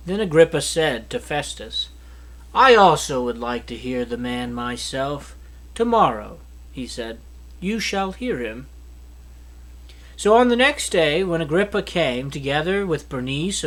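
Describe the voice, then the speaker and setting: High-pitched man reading scripture aloud. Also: a bit bright. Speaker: Bible reader. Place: small indoor study with bookshelf. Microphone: microphone on a stand at a lectern.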